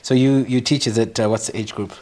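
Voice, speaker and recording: A male talk show host, asking questions in an unhurried, gentle way. He uses plenty of "uh"s and quiet "mm-hmm"s while his guest talks. His voice sits at an ordinary middle pitch for a man. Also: steady tone. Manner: measured, with frequent fillers and soft acknowledgements. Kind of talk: interview, conversational.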